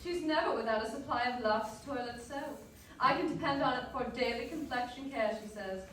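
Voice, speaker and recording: A woman's voice: on the high side, a little fast, a bit bright, close to an everyday voice. A woman playing a chatty, fashion-loving 1940s Hollywood radio reporter, delivering scripted sales patter on a live stage. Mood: chatty, enthusiastic. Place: live stage performance in a dance hall. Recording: very echoey hall, distant, faint noise.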